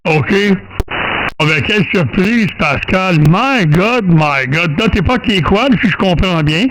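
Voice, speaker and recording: A man with a Quebec French accent chatting casually as a radio operator, folksy and halting, often repeating words. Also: high-pitched, expressive. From Quebec, Canada. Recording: faint radio noise.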